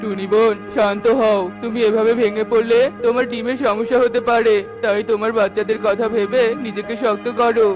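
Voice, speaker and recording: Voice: medium pitch, level tone, brisk, hardly any pauses, lightly acted. A performer voicing a concerned, consoling male parrot in a cartoon, with music behind. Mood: firm, consoling.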